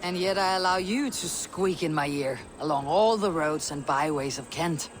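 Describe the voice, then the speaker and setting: An actor playing a hard, impatient Viking war leader in a video game, terse and commanding. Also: male voice, fairly high pitch, normal speed, soft background music, close to an everyday voice. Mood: dry, scornful.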